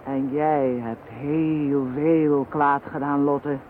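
An actor playing a stern, accusing man in a Dutch radio drama, speaking solemnly and deliberately. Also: a little high, steady tone. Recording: muffled narrow-band phone-like audio, noisy.

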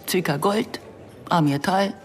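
A woman's voice: fairly low pitch, a little slow, faint music behind, close to an everyday voice. An actress playing a guarded, reflective woman in her early fifties who narrates and converses soberly in a German radio drama. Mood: somber, remembering. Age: middle-aged.

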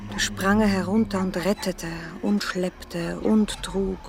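A woman's voice: mid-range voice, steady tone, slow, vocal fry, a little mellow. A woman playing a grieving old gardener woman in a radio drama, speaking tearfully.

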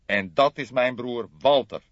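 A man's voice: mid-range voice, unhurried, a bit bright, dry recording, dull audio, voice pushed up, natural voice. A man voicing a brash, impatient man in his thirties with a raw, growling voice, in an audiobook detective story. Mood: blunt. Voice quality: raw, raspy.

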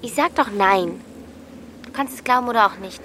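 A woman's voice: fairly high pitch, normal speed, pushed and bright, slight background noise. Stubborn, curt teenage girl. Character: stubborn, practical thirteen-year-old girl, caring but curt. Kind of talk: German audio drama acting.

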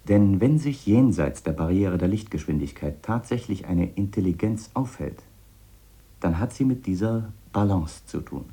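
A man acting an eccentric, obsessed scientist who thinks aloud in a reflective, halting, explanatory way in a radio drama. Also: sits low, expressive, dark tone. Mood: speculative. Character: obsessed eccentric male scientist, musing, earnest, self-doubting. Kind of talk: radio drama monologue in letter form.

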